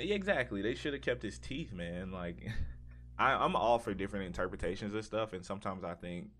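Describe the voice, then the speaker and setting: A man's voice: sits low, a bit bright. A man co-hosting a podcast, chatting casually and informally about video games. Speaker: podcast co-host. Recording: audible hum and background noise.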